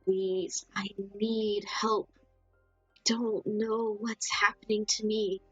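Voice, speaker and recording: A woman voicing a gentle, confused ghost who speaks in a slow, halting, polite and timid way. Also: a little high, breathy edge, no room sound, exaggerated voice, rough recording. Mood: frightened, bewildered.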